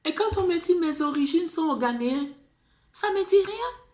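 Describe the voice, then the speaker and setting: A woman's voice: extremely high pitch, lively intonation, normal speed, a little mellow, echoey room. A woman with an Ivorian French accent gives an impassioned monologue, pleading, repeating herself and restarting words. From Ivory Coast. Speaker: video blogger.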